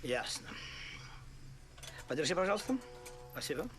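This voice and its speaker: A man dubbing an emphatic, officious train conductor in an animated film. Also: fairly high pitch, normal speed, over music, natural voice. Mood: officious, urging.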